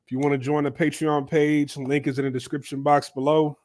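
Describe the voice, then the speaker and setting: Male channel host, somewhat high pitch, congested from a cold, rambling casual commentary. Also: level tone, brisk, a little mellow. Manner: loose, repetitive, thinking aloud with fillers. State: stuffy from a cold.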